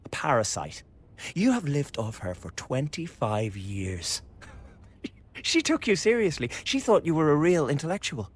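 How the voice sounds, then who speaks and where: A man's voice: on the high side, big pitch swings, a little slow, breathy edge, full character voice. Sneering young man, wildly swooping delivery. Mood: gleefully cruel. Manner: sneering, taunting, theatrical. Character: spiteful, mocking young revolutionary son. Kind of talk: radio drama acting.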